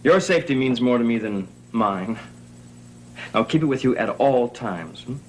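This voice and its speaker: A man's voice: medium pitch, animated, normal speed, a little hiss or hum, lightly acted, poor audio. An actor playing an intense, insistent, earnest man in a gothic soap opera. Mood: tender, earnest. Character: intense, determined man investigating, protectively devoted lover.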